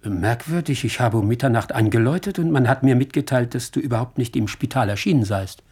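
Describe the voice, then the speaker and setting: An actor playing a suspicious, needling man in a radio play, with a raspy voice and pointed manner. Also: mid-range voice, fast, a little mellow. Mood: inquiring. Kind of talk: German radio play acting.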